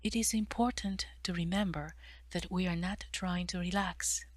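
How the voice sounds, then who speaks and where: Woman softly guiding meditation, lowish voice. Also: fairly even intonation, moderate pace, no room sound. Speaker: meditation guide, therapist. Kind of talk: guided meditation narration.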